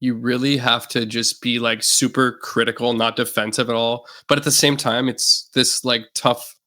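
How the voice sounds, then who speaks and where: A man's voice: medium pitch, moderate pace. A young man, a musician guest on a podcast, chatting casually and loosely with lots of fillers. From Canada. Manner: relaxed, rambling with many fillers. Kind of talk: casual interview chat.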